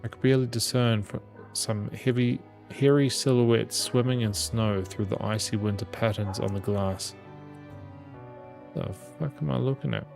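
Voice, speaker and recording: A man's voice: mid-range voice, steady tone, moderate pace, gravelly, a little mellow. A man reading a visual novel aloud as dramatic narration, with small stumbles here and there. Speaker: gaming channel host reading the game aloud. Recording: music behind the voice. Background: game music.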